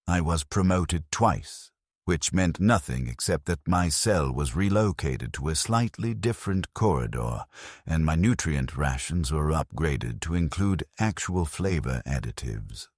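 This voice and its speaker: A man narrating a scripted first-person story in a measured, grave manner. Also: a bass voice, expressive, dry recording, studio quality.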